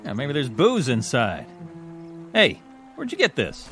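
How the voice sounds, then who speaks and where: Wisecracking, swooping-voiced soldier. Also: male voice, medium pitch, huge pitch swings, unhurried, a bit bright, faint music behind. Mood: curious, skeptical. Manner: sarcastic, slangy. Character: cynical, wisecracking army corporal, a restless skeptic. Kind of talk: radio drama acting.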